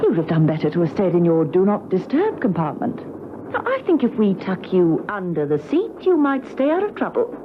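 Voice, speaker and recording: A woman's voice: medium pitch, wildly swooping pitch, normal speed. A woman playing a cool, unflappable secret agent with a breezy, dry, teasing wit in a spy radio drama. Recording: noisy muffled vintage radio recording.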